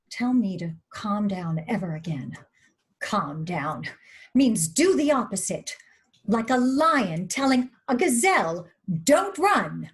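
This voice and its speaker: A woman plays a husky-voiced female star in her fifties in a dramatic table read. She is jittery and tart, leaning hard on repeated words with a wry, bitter bite. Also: mid-range voice. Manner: biting, wry, emphatic repetition. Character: fifty-four-year-old Lucille Ball, nervous, tart-tongued, bitter screen star.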